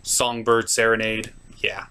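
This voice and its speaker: A man's voice: lowish voice, level tone, slow pace, gravelly, pushed and bright, clear, resonant tone. A man delivering a scripted review as host, in a theatrical, emphatic way. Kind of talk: scripted review commentary with puppet-show skits.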